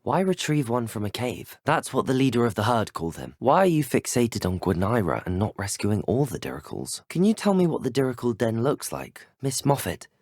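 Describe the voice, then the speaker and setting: A man's voice: medium pitch, sing-song, fairly quick, few pauses, darkish tone, no echo, clean studio sound. A man playing a polite, earnest, inquisitive teenage wizard student in a video game, speaking formal, courteous, well-spoken lines. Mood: earnest, questioning. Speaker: player character voice actor. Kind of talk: video game dialogue clips, scripted acting.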